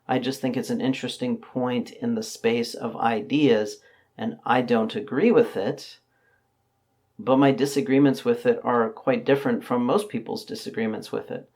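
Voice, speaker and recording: A man with a hoarse voice, hosting his channel and answering viewer comments in casual, measured talk, pausing often to restart his sentences. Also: medium pitch. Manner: measured, analytical, with frequent fillers and restarts.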